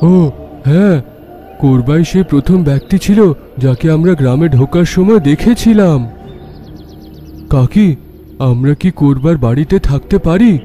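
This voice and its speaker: A man's voice: fairly high pitch, lively intonation, moderate pace, long pauses, dark tone, faint music behind, natural voice. A man playing an earnest, determined young adventurer in cartoon dialogue, with a matter-of-fact manner.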